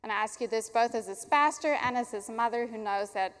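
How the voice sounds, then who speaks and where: A young adult woman pastor from Africa, an Afrikaans speaker, leading a baptism prayer in an earnest, warm way. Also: a little high, a little fast, heavy background noise. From South Africa. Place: church sanctuary. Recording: long church hall reverb.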